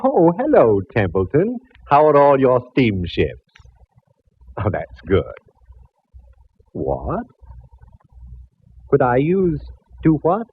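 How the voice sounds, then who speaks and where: A fussy, genteel art dealer. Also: male voice, medium pitch, wildly swooping pitch, takes it slowly, pauses often, breathy edge, exaggerated voice. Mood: chatty. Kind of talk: 1950s radio drama acting. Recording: dull old radio broadcast.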